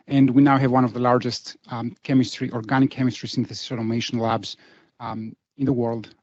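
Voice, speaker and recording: A man's voice: mid-range voice, a little mellow, dry recording, lo-fi sound. A man from Greece, a startup founder, introducing himself and his company on a panel.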